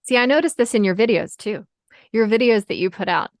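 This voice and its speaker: A woman's voice: on the high side, a little fast, no room sound, clean studio sound. A woman hosting a podcast interview over a video call, agreeing often and asking her guest for tips. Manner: affirming, frequent short agreements. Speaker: podcast host.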